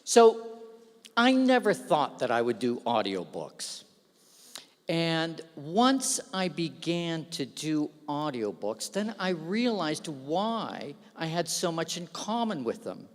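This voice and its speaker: A man giving a humorous, self-deprecating speech at an awards ceremony, telling stories with lively asides into a stage microphone. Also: fairly high pitch, slow. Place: large event hall. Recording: long reverb of a large hall.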